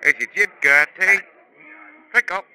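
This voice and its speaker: An actor playing a leering, wheedling London pub patron in 1950s radio drama style. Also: male voice, high voice, unhurried, noisy background. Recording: sharp vintage 1950s radio recording.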